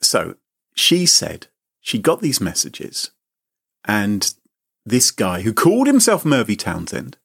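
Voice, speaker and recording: A man's voice: huge pitch swings, slow pace, vocal fry, fairly bright tone, breathy edge. Mock-outraged man telling a story. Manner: mock-indignant, theatrical, sweeping pitch. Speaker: podcast host. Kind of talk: comic storytelling chat.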